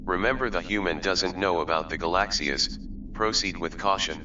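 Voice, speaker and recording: Man as a low, deadpan machine intelligence. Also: normal speed, natural voice. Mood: matter-of-fact. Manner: formal, deadpan. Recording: dull, distant, noisy sound.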